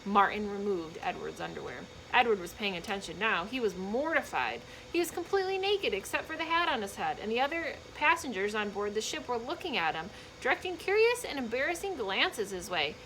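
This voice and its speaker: A woman reading a children's book aloud in an expressive, emphatic storytelling manner, with short explanatory asides for young listeners. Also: mid-range voice, fairly quick, few pauses, slight background noise, poor audio.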